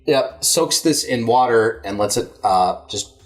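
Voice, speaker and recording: Male host, mid-pitched, loose and profane banter, rambling delivery, music underneath. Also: lively intonation, a little slow. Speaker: show host. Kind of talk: casual banter, answering listener mail.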